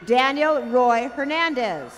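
A woman reading graduates' names one by one at an outdoor commencement, slow and careful. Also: high voice, animated. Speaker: commencement name reader.

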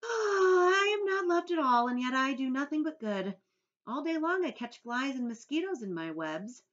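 A woman's voice: a little high, expressive, moderate pace, a bit bright, pitched above the performer's own voice. A woman voicing a dignified, sorrowful female spider who speaks plaintively with sighs. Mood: mournful. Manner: sighing, plaintive. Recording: dull lo-fi audio.

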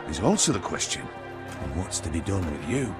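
An actor playing a proud middle-aged medieval lord in a fantasy game, speaking in a measured, formal, commanding way. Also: male voice, low voice, sing-song. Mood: calm, fatherly. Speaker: video game character actor. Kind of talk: cinematic fantasy game dialogue. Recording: game music behind the voice.

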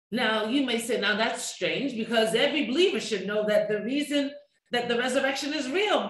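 A middle-aged woman pastor preaching a sermon with rhythmic repetition, recorded in a small room. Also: fairly high pitch. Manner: expressive preaching with wide hand gestures, rhythmic repetition. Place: small room with a table, indoors. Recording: echoey room.

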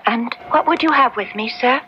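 A woman's voice: a little high, moderate pace, slight background noise, close to an everyday voice. A woman playing a frail yet defiant young maiden accused of witchcraft, formal and earnest, in a radio drama. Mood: defiant, wary. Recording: dull old radio drama recording.

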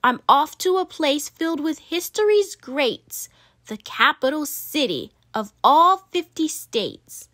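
A woman reading the part of a bright, determined young girl in a storybook, eager and emphatic. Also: high-pitched, very animated, slow pace, pitched above the performer's own voice, full character voice.

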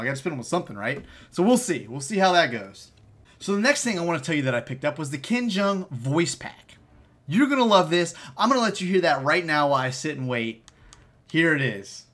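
An American man in his thirties with a fairly high-pitched voice. He talks in an upbeat, slangy way, as in a casual gaming vlog recorded at home. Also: a bit bright. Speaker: gaming video creator.

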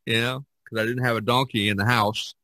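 A man's voice: mid-range voice, steady tone, fairly quick, bright, forceful tone, no echo. A man hosting a podcast in a casual, rambling and jokey way. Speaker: podcast host. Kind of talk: casual chat about painting.

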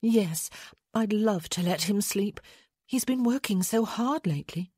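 A woman voicing a refined young aristocratic wife in an audiobook, playful and deeply emotional. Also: measured pace, mellow, breathy edge, dry recording, clean studio sound. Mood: gracious. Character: young aristocratic wife, playful then desperately grief-stricken. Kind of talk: dramatic audiobook character acting.

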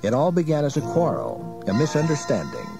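A man's voice: a little high, wildly swooping pitch, fairly quick, creaky, over music. A man narrating a dramatized history from a script, as a storyteller.

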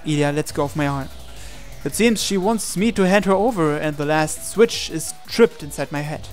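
A man with a fairly high voice reads a visual novel aloud over music. His delivery is earnest and theatrical, telling the story in the first person with dramatic intensity. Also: moderate pace. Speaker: let's-play reader of a visual novel.

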